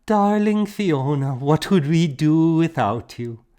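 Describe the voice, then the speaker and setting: A man's voice: a little high, animated, slow pace, mellow, pitched above the performer's own voice. A man as a tender, assured young woman. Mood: affectionate.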